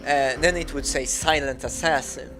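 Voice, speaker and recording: A man's voice: mid-range voice, fairly even intonation, normal speed, music in the background. A young man from Sweden with a Swedish accent, whose first language is Swedish, giving casual commentary over a game, hesitant, with many fillers and restarts. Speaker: gaming YouTuber.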